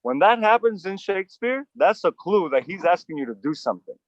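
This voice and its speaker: A man hosting an outdoor acting coaching session, animated and emphatic, with frequent restarts. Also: high voice, brisk, no room sound, highs cut off.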